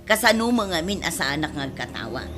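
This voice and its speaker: A woman's voice: on the low side, big pitch swings, brisk, background noise. A woman with an Ilocano accent playing a gossipy, mocking village mother in a radio comedy drama.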